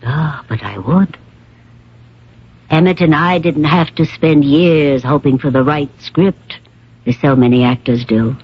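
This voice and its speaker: An actress playing an elderly, poised and theatrical stage star with a condescending manner in a radio drama. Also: female voice, deep voice, a little slow, slightly rough. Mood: wry, poised. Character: elderly stage actress, poised, grandly self-possessed wife. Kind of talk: 1970s-80s radio drama acting. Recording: dull lo-fi old radio recording.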